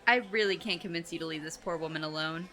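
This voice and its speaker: Woman playing a dry, bright-voiced sensible friend. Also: deep voice, quick pace. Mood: skeptical, wry. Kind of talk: audio drama acting.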